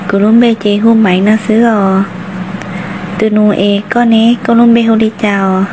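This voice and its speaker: A woman's voice: mid-range voice, flat delivery, normal speed, background noise, natural voice. A woman voicing an ordinary adult woman in an amateur cartoon, talking in a casual, chatty way, recorded on a phone.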